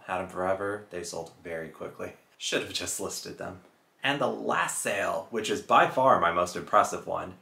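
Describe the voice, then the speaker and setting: Low-voiced man in an echoey room. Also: gravelly, bright, forceful tone. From Houston, Texas. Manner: upbeat, chatty, reads out figures steadily. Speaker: reselling channel host. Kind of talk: casual weekly sales recap to camera. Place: small room indoors, plain wall. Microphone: fixed camera at chest-up framing.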